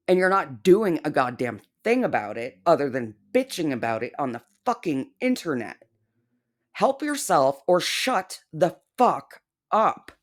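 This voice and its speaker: Low voiced woman ranting sarcastically, swears a lot, blunt. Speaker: commentary YouTuber. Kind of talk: reaction commentary.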